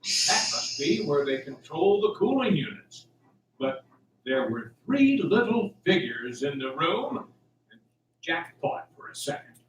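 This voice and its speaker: A man's voice: fairly high pitch, big pitch swings, a little slow, a little hiss or hum. A man narrating a scripted audio drama in a theatrical, suspenseful storytelling style. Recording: roomy, distant, music underneath.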